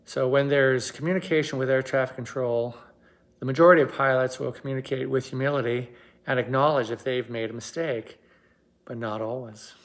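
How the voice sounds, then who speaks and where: A man with a mid-range voice hosting an analysis. He speaks in a measured, explanatory way, pausing to put weight on his points. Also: medium pitch, steady tone. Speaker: host and aviation commentator. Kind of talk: explanatory commentary.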